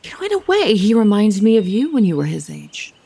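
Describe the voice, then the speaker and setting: An actress playing a sardonic, worldly older wife in an audio soap opera, wry and pointed. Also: female voice, medium pitch, sing-song, a little fast, vocal fry, warm tone, slightly rough, heavily acted. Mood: reflective, tender.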